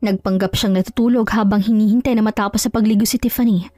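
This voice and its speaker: A woman's voice: mid-range voice, steady tone, quick pace, dark tone, no echo, clean studio sound. A woman narrating a scripted dramatic love story as a storyteller.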